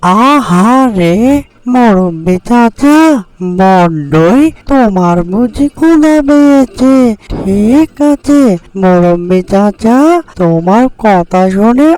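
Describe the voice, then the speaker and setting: A performer voicing a kind, earnest little bird in a cartoon fairy tale, with drawn-out, exclaiming speech. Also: mid-range voice, lively intonation, darkish tone, poor audio. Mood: sympathetic, kindly. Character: kind, earnest little bird living alone, scolding yet compassionate. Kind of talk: slow cartoon storytelling dialogue.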